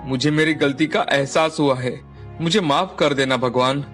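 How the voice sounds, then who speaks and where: A man voicing a doting, excitable storybook father who exclaims and repeats his words for emphasis. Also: a little high, moderate pace, faint music behind, close to an everyday voice. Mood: remorseful. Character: doting, excitable father of two daughters, remorseful and devout.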